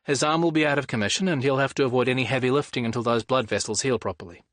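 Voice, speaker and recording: A man voicing a caring young male doctor who talks brisk and friendly. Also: mid-range voice, a bit bright, no echo, voice pushed up, close to an everyday voice. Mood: reassuring.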